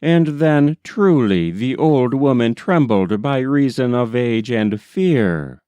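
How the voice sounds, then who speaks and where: A man reading a folk tale as scripted audiobook narration, deliberate and expressive. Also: mid-range voice, clear, resonant tone, no echo, highs cut off. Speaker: audiobook reader.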